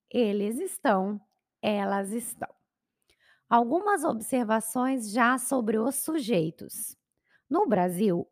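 A Brazilian woman with a Brazilian Portuguese accent teaching grammar, carefully enunciating each conjugation. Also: fairly high pitch. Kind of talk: slow, clear grammar lesson.

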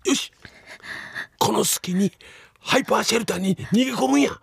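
Casting: excitable Kansai researcher on the run from zombies, gravelly husky voice, dry comedic radio drama. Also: male voice, high-pitched, normal speed, no room sound, full character voice. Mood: urgent, alarmed. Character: panicky, urgent male researcher fleeing zombies.

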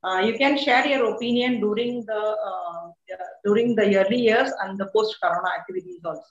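A woman's voice: medium pitch, a little fast. A woman co-hosting an online talk show, formal and hesitant with many uhs. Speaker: co-host. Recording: echoey, dull lo-fi sound.

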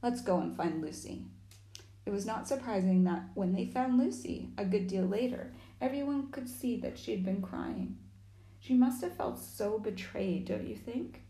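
A woman with a slightly gruff, growly voice reading a chapter book aloud, pausing to chat with young listeners. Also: mid-range voice. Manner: warm asides to young listeners. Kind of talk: storybook reading with chatty asides. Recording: echoey room.

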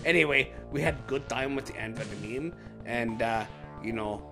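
A man's voice: medium pitch, moderate pace, over music. A man co-hosting a podcast, rambling casually through the sign-off with lots of fillers and restarts. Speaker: podcast co-host.

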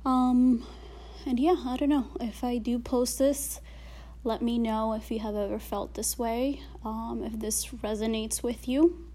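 A woman's voice: a little high, steady tone, unhurried, dark tone. Casual swearing woman musing aloud. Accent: non-native accent. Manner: casual, swears often, many fillers. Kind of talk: casual reflective monologue.

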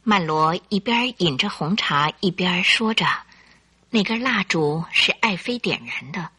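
A woman narrating a scripted radio drama in an expressive, suspenseful storytelling style. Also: fairly low pitch, measured pace, slightly rough.

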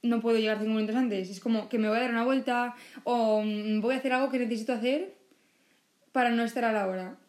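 A woman from Spain in her late twenties, co-hosting a podcast chat with a friend. Her voice is a bit high and she rambles in a loose, self-mocking way. The recording sounds roomy and echoey. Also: fairly even intonation, fast talker, darkish tone. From Valencia, Spain. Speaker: podcast co-host. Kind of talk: casual chat between friends.

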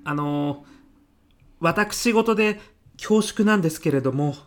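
A man's voice: on the high side, measured pace, close to an everyday voice. A man playing a meek, very polite male office worker in a comedy radio drama, hesitant and deferential to the boss. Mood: nervous, polite.

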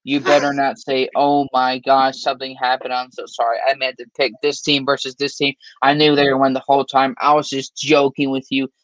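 A man's voice: mid-range voice, monotone, brisk, few pauses, fairly bright tone, dry recording. A man co-hosting a sports podcast, talking emphatically and teasing his co-host in a casual sign-off. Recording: dry, dull lo-fi mic.

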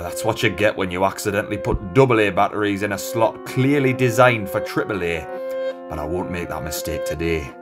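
Theatrical, embittered mad inventor over music. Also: female voice, rumbling low. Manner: theatrical, muttering to exultant crowing. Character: eccentric, embittered inventor woman, impatient, gleefully mad creator. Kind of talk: audio comedy drama acting.

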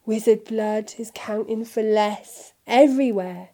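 A woman's voice: a little high, measured pace, warm tone. Woman doing a greasy, bowing shopkeeper, oily and sly. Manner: oily and fawning. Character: oily obsequious male shopkeeper.

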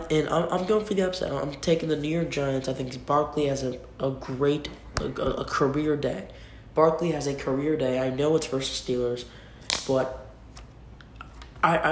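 A young man with a cold hosting a casual solo sports podcast, rambling and restarting sentences as he makes his picks. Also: mid-range voice. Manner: rambling, restarts often, informal. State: has a cold. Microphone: computer mic. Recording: echoey room with faint noise.

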